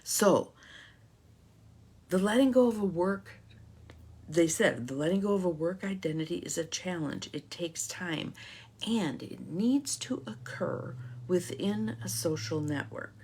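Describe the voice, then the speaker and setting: Husky, low-voiced woman coach. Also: animated, measured pace, a little mellow. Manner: reflective, encouraging, greets viewers. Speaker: host, life coach. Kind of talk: live-stream talk.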